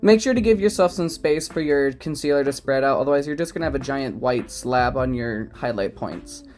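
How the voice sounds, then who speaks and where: A man in his twenties, a drag queen, talking through his makeup routine in a casual, self-mocking way, with lots of ums and quick giggles. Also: medium pitch, a little mellow. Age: 20s. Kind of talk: casual makeup tutorial commentary.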